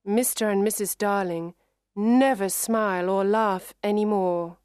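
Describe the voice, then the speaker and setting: Female storybook narrator, slow and carefully enunciated, mid-pitched, dry studio-like sound. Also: darkish tone, clean tone, no room sound. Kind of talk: scripted children's story narration for English learners.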